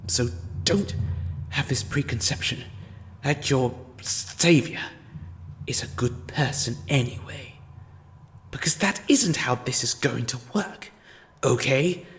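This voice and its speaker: A man's voice: medium pitch, a bit bright, a little hiss or hum. A man playing a scheming, self-important male time-meddler in a fan audio drama, speaking with sardonic impatience. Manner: sardonic and impatient, slow deliberate delivery.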